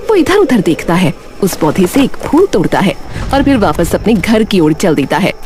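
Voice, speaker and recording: Lively woman narrating a story. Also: mid-range voice. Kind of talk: scripted story narration in Hindi. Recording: faint music behind the voice.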